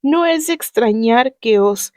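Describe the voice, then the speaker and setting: A woman with a Mexican accent reading the lines of a young woman's letter in a gentle, measured way. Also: on the high side, expressive, no room sound, studio quality. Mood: tender.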